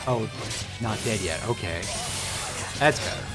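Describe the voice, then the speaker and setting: A man's voice: on the low side, expressive, measured pace. A man giving casual, wry, self-deprecating live commentary as he streams a video game. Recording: game music behind the voice. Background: video game music and effects.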